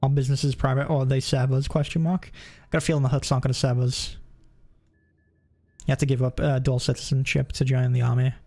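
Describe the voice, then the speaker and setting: A young man from the UK chats with viewers while playing, rambling and stopping to correct himself. His pitch sits in a plain, middle range and his tone stays jokey and loose. He is close on a desk mic. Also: little pitch movement, a little fast, vocal fry, a little mellow. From United Kingdom. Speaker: streamer. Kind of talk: casual chat with stream viewers while gaming.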